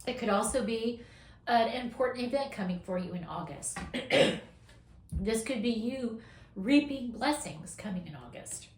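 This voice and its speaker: A woman doing a casual weekly tarot reading, encouraging and affirming, her voice going hoarse as she talks. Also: on the high side, animated, echoey room. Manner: encouraging, affirming, drawn-out emphasis. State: losing her voice, coughing. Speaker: tarot reader.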